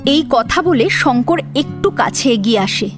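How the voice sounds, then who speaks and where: A woman's voice: high voice, animated, a little slow, over music. A woman narrator reading a scripted dramatic story.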